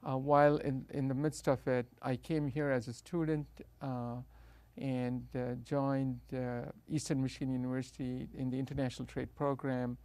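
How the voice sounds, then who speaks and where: A middle-aged man with a South Indian accent speaks in a flat, level tone with a gravelly edge, recorded close and dry. He tells his story as an interview guest, hesitant and full of restarts. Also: mid-range voice, moderate pace, no room sound. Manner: hesitant, many uh fillers and restarts.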